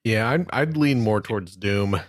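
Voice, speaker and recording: A man's voice: fairly low pitch, expressive, a little slow, dry recording, studio quality. A man co-hosting a podcast, chatting casually through a quiz. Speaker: podcast co-host. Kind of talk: casual quiz-show chat.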